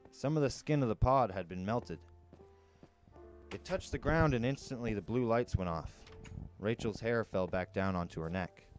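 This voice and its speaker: A man with a low voice reads a first-person adventure story as a storyteller would, with dramatic delivery. Music plays faintly behind him. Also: a little mellow. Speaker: storyteller reading an audiobook.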